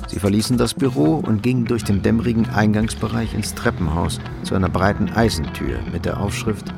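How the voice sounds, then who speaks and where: Low-voiced man narrating a crime drama. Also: fairly quick, gravelly. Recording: loud music behind the voice.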